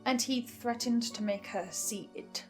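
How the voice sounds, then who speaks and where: A woman with a fairly high voice delivers theory commentary over music. She speaks in a theatrical, emphatic way, as if presenting a case to viewers. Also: measured pace. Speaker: podcast listener sharing theories. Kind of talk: semi-scripted theory commentary to camera.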